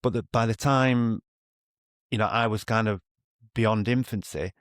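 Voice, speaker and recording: Male interviewer, conversational and halting, lowish register, very little room sound. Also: no room sound, studio quality. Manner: halting, rambling, thinking aloud. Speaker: podcast host.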